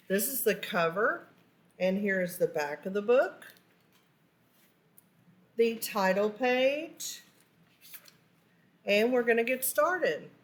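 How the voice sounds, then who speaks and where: A woman with a fairly high voice reads a children's story aloud. She takes it slowly, giving key words deliberate, animated emphasis in an expressive narrating style. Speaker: storybook reader.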